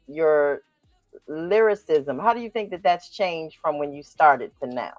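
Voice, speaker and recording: A woman's voice: low voice, animated, measured pace, a little mellow, no room sound, soft background music. A woman hosting a radio interview, asking questions with emphatic enthusiasm. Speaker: radio show host.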